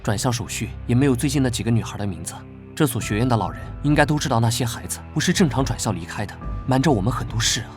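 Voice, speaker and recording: An actor playing a guarded, prickly young knight vice-captain who speaks curtly and earnestly, in an animated drama dub. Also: male voice, mid-range voice, rapid-fire, lightly acted. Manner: curt, wary and earnest. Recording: background music under dialogue.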